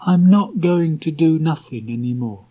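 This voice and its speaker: A man voicing a young boy in a storybook, tender, dreamy and earnest, speaking haltingly. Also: fairly high pitch, animated, husky, voice pushed up. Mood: hesitant, tender. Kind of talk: storybook character voice. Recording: dull restored cassette tape.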